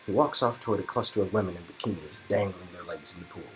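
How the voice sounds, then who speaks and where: A man's voice: low voice, fast, faint music behind. A man reading out narration from an interactive romance game, with joking asides to his co-reader.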